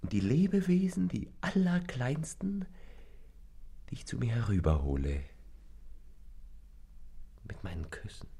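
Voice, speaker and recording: An actor playing a rapturous male lover in a literary radio play, declaiming poetic lines fervently in a low, gravelly, often breathy voice. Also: big pitch swings, a little slow, pauses often, warm tone, exaggerated voice. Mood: tender wonder. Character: rapturous male lover, a stranger in a rented room.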